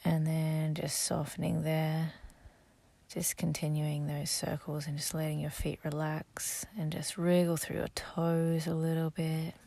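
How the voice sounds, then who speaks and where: A woman guiding a sleep meditation, speaking softly and gently, slow and instructive, sometimes half-whispered. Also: sits low, little pitch movement, creaky, no room sound. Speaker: meditation guide.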